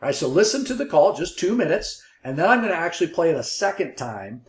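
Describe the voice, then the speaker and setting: A man's voice: fairly high pitch, sing-song, quick pace. A man giving encouraging, affirming coaching commentary as a call trainer. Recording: roomy, echoey sound.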